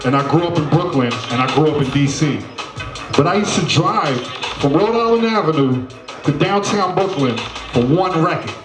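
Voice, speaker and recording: A man's voice: a little high, expressive, normal speed, reverberant, music in the background, low-quality recording. A man from Trinidad giving a loose, grateful acceptance speech as a DJ honoree, full of fillers.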